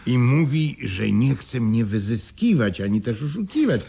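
An actor in a Polish radio play playing a boastful, sly mine seller with a chatty way of storytelling. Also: male voice, medium pitch, big pitch swings, normal speed, creaky, dark tone, husky, exaggerated voice. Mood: gleeful, boastful.

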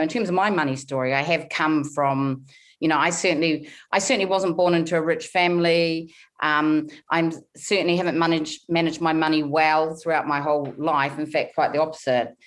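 A woman in her forties from New Zealand with a softened Kiwi accent, telling her story candidly in a podcast interview. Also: deep voice. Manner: candid storytelling, frequent fillers and restarts. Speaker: guest, money coach.

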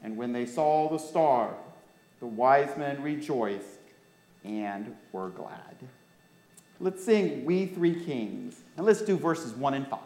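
American man, pastor telling a story to his church. Slow, deliberate delivery, speaks directly to listeners, reverberant room. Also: mid-range voice, lively intonation, a little mellow. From United States. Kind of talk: storybook-like Bible reading to a congregation. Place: church during a worship service. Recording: echoey room.

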